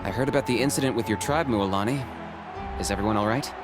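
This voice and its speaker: A man voicing a calm young man in a game, measured and terse. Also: lowish voice, music in the background, close to an everyday voice. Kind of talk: game voice acting. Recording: game mix with crowd noise.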